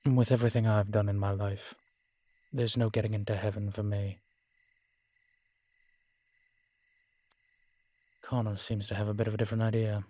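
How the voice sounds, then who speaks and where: Man playing a low-voiced, sardonic ancient vampire. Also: flat delivery, quick pace, mellow, no room sound, close to an everyday voice. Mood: musing, distracted. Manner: wry, haughty, uses old-fashioned fancy words. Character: ancient, sardonic male vampire, proud and world-weary. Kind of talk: audio roleplay drama, one-sided dialogue.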